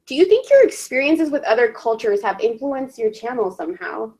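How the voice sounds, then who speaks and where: A woman's voice: a little high, sing-song, brisk, darkish tone. A woman who is a native Spanish speaker, hosting a casual interview in an informal, agreeable way. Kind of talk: casual online interview chat.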